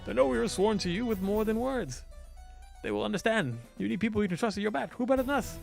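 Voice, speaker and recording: Man voicing a high-pitched, devoted knight. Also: fast talker, vocal fry, faint music behind. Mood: loyal, determined. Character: devoted, determined knight pleading with commander. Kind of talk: visual novel character reading.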